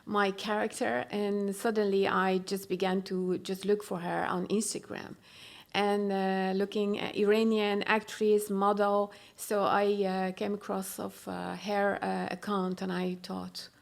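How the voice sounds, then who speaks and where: A woman director with a Persian accent, speaking on a festival panel, pausing often with fillers and restarting her phrases. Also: mid-range voice, flat delivery, gravelly. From Iran. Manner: hesitant, many fillers and restarts. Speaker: film director.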